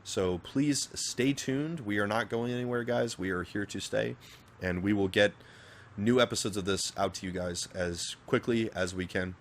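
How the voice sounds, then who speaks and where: A man's voice: lowish voice, normal speed, a little hiss or hum. A man from Northern Virginia with a general American accent, co-hosting a casual podcast and joking crudely with his co-host. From Northern Virginia, USA. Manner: crude, swearing, animated and joking. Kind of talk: casual chat.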